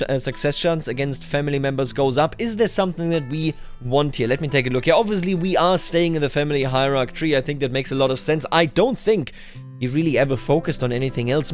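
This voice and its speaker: A man hosting a strategy game roleplay, commenting deliberately and musing aloud as he plays. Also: a little high. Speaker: gaming video host. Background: background music.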